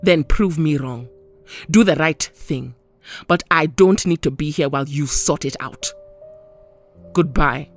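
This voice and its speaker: Dignified superhero heroine, slightly distant mic. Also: female voice, low voice, faint music behind. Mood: firm. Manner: measured, formal, earnest phrasing. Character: Storm, dignified, gentle female mutant superhero. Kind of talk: audio drama acting.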